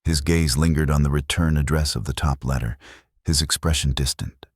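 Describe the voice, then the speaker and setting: A man's voice: a bass voice, fairly even intonation, moderate pace, breathy edge, no room sound, clean studio sound. A man narrating a scripted Western romance story in the manner of an audiobook.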